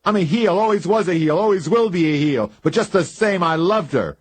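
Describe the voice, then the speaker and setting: A man voicing a distraught, rough-spoken male caretaker with blunt country speech. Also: high voice, expressive, fairly quick, no echo, voice pushed up. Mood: shouting, wild.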